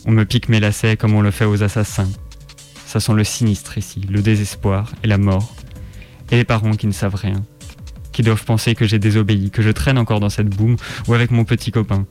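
Male narrator for radio fiction, lowish voice, dramatic first-person reading, faint music underneath. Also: level tone, a little fast, darkish tone.

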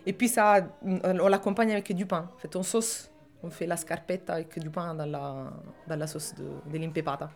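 Give me a woman from Italy with a low voice, speaking haltingly with many fillers as a guest. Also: steady tone, darkish tone, faint music behind. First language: Italian. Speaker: guest, restaurant cook. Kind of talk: casual interview talk.

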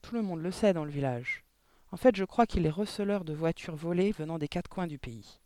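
Man reading a gentle, mellow woman teacher. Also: on the high side, a little fast, slight background noise, close to an everyday voice. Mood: calm, frank. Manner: calm, gentle explaining. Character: kind, calm young woman schoolteacher, gently attentive.

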